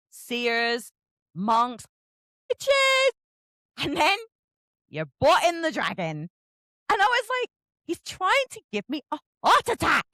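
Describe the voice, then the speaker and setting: A woman interviewer with a fairly high voice and very animated intonation. She chats casually and effusively, leaning on her words for emphasis. The sound is close and dry. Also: dry recording. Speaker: book interviewer and host. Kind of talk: casual book interview chat.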